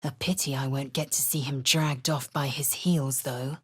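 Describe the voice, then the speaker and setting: A woman playing a proud, fierce young female alien warrior in a video game, with a raspy voice and clipped, haughty, deliberate delivery. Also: fairly even intonation, dry recording, studio quality. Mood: scornful, haughty. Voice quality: raspy, husky, breathy when low. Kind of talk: video game character acting.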